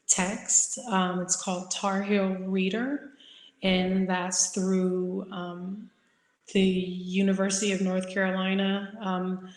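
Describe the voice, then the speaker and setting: A woman in her thirties with a Midwestern American accent talks over a video call, relaxed and loose, with frequent ums. Her pitch stays flat and even. The room sounds echoey. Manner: relaxed, informal with many fillers. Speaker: guest speaker. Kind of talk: webinar talk. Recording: roomy video-call audio.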